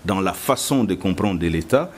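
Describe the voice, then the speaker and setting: A man's voice: sits low, lively intonation, normal speed, a little mellow. A man with a Congolese accent answering as a political candidate in an interview, assertive and emphatic. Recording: clear background noise.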